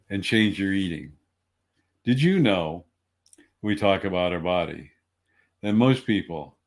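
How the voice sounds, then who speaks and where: An older man with a very deep, low voice delivers an earnest motivational talk about wellness. He speaks steadily, then breaks into lively bursts of emphasis on the points he cares about.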